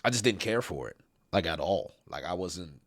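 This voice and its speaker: A man's voice: sits low, lively intonation, normal speed, crowd noise. A man co-hosting a casual review chat, laid-back, trailing off into mumbles. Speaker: co-host.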